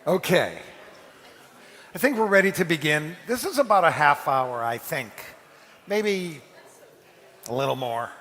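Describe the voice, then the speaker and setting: A man speaking as a rabbi, informally introducing a dramatic reading to a congregation in a halting, conversational way. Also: on the high side, expressive, a bit bright. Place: large reverberant hall, amplified to an audience. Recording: long hall reverb.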